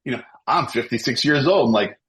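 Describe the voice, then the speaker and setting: A man's voice: mid-range voice, lively intonation, fairly quick, fairly bright tone. A man in his fifties, a podcast guest talking about films with energy and enthusiasm, often repeating words as he goes. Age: 50s. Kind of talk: casual film podcast chat.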